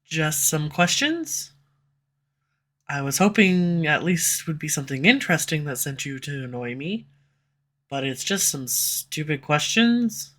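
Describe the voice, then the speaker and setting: A woman's voice: sits low, very animated, measured pace, a bit bright. A woman voicing a sarcastic snarky villain. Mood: teasing. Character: snarky sharp-tongued villain, slightly tsundere. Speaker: audio roleplay voice actor. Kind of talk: scripted audio roleplay. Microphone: USB condenser mic.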